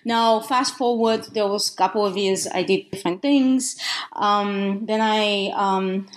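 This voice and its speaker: Bulgarian-accented woman, halting speech. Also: medium pitch, fairly even intonation. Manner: halting, many restarts and ums. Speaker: author and guest. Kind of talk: podcast interview.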